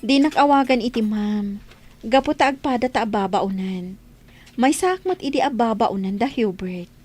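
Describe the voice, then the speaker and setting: A woman's voice: fairly high pitch, animated, moderate pace, a little mellow, close to an everyday voice. A woman playing a sweet, timid young housemaid in love in a radio drama. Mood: hesitant. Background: music.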